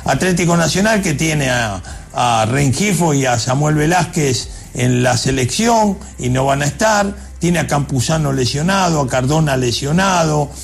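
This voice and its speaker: Sports pundit: male, gravelly and raspy, emphatic, repetitive rhetorical build-up. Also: a little high, expressive, moderate pace. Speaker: sports commentator. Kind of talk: emphatic sports preview commentary.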